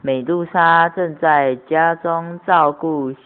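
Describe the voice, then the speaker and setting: A woman's voice: sits low, steady tone, slow. A woman reading storybook-style narration for an amateur radio play. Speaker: narrator of an audio drama. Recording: tinny, band-limited amateur recording with noise.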